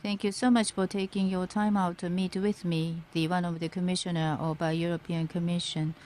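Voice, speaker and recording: A woman working as a simultaneous interpreter, rendering a formal speech live in halting phrases. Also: fairly low pitch, level tone, a little mellow. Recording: interpreter feed with faint background noise.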